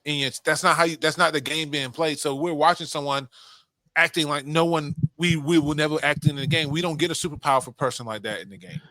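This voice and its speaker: A man's voice: fairly high pitch, quick pace, bright, forceful tone, dry recording, low-quality recording. A young adult man co-hosting a podcast, giving opinions in casual talk and swearing freely. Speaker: podcast co-host. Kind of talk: casual film discussion.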